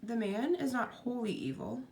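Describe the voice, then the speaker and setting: A woman reading a children's storybook aloud as narrator, in an expressive scripted style. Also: on the high side, normal speed, slight background noise.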